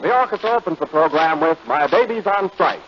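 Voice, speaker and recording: A man announcing and trading banter on a 1930s radio comedy show, with a booming announcer delivery. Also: lively intonation, fairly quick, loud music behind the voice. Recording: dull lo-fi old radio recording.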